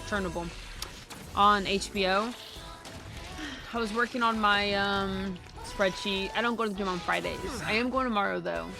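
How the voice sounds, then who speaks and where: A woman's voice: mid-range voice, fairly even intonation, normal speed, vocal fry. Chatty woman streamer over game music. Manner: slangy, chatty. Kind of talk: casual livestream chat. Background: game music and character voices.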